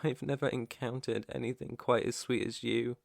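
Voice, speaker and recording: A British man playing a protective, gentle, clueless alpha werewolf in an intimate ASMR roleplay, speaking softly and reassuringly close to the mic. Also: medium pitch, steady tone, creaky, dry recording. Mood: tender, reassuring. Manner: soft, tender, unhurried, reassuring. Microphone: close microphone, dry.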